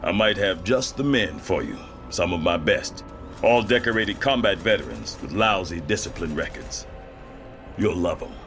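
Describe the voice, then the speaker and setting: An actor playing a seasoned army general who speaks in a measured, dry-humored way. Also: male voice, low voice, lively intonation, a bit bright. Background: music score.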